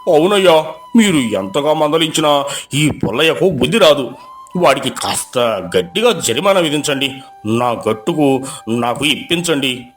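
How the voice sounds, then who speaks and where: A man voicing a loud, indignant farmer. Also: fairly high pitch, expressive, moderate pace, soft background music, natural voice. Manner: loud, insistent complaining. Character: angry farmer accusing neighbour, loud and indignant.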